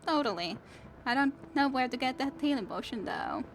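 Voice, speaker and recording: A young woman streaming a game and chatting with viewers in a soft, musing way; English is not her first language and her voice is worn out from long reading. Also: high-pitched, lively intonation, normal speed, a little hiss or hum, rough recording. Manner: soft, musing, self-talking. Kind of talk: casual gameplay chat with viewers. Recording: game music in background.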